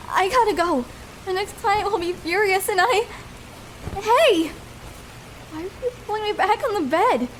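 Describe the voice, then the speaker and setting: A woman's voice: extremely high pitch, slight background noise, low-quality recording. A young woman playing a shy, vulnerable escort in an intimate ASMR roleplay, speaking hesitantly and tenderly with small stammers. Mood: flustered.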